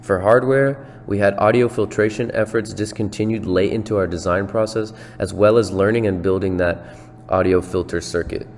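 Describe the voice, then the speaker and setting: A young male student reads a prepared presentation in a lowish voice. There is long reverb on him and a light hum. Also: steady tone, moderate pace. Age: young adult. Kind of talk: scripted slide presentation.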